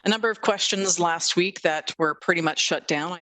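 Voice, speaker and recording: A man's voice: high voice, moderate pace, sharp tone, no room sound. A man making formal meeting remarks as a city councillor.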